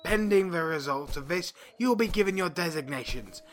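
Audio drama drill sergeant duck: brusque, sarcastic, higher-pitched bark, wildly animated, faint noise behind. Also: male voice, fairly quick, soft background music. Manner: barking, sarcastic military orders.

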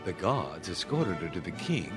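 A man narrating a fairy-tale radio play in a scripted storybook style, with dramatic, deliberate pauses. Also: deep voice, sing-song, fairly bright tone, faint music behind.

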